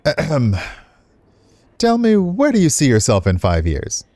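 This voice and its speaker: A man's voice: on the high side, wildly swooping pitch, a little slow, mellow, no room sound, voice pushed up. A man performs a pompous restaurant boss in a visual novel game, brusque and lofty, in a dry recording.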